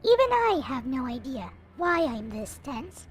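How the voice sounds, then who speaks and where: Female narrator, high and animated voice, dramatic visual novel read-aloud with expressive delivery, music underneath. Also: measured pace. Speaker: video creator reading a visual novel aloud. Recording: music playing behind the voice. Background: visual novel soundtrack music.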